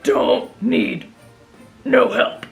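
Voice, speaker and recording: A woman puts on a brash wild anime boy with a high, creaky growl. He talks slowly and bluntly, with childlike stubbornness. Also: wildly swooping pitch, faint music behind, exaggerated voice. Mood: dazed, sulky. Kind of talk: anime fan roleplay audio drama.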